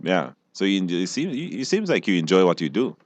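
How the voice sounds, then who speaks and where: Deep, animated male host. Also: a little fast, no room sound. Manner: expressive, repeats phrases for emphasis. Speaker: radio show host, interviewer. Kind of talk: informal interview.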